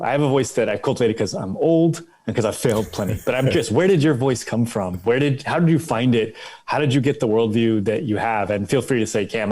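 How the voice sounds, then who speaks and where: A man's voice: lowish voice, darkish tone. A middle-aged man as a podcast guest, speaking fluently and animatedly and asking reflective questions over a video call. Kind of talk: casual video-call interview. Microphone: headphones with headset, video call.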